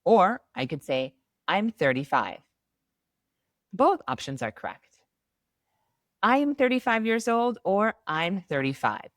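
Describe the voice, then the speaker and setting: Thirties woman, ESL teacher: low, animated voice, deliberate clear delivery, dry sound. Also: no room sound. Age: 30s. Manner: slow model phrases, bright and upbeat. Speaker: English teacher. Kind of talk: slow, clearly enunciated beginner ESL lesson.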